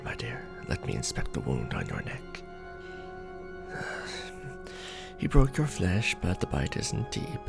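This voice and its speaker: A man acts as an ancient, courtly vampire lord in a romantic audio roleplay. His low voice swings expressively and frays into gravelly creak. He speaks formally and with measured theatrical weight, protective and tender beneath a cold nobility. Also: exaggerated voice. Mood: tender, hushed. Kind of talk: ASMR romantic roleplay, scripted character acting.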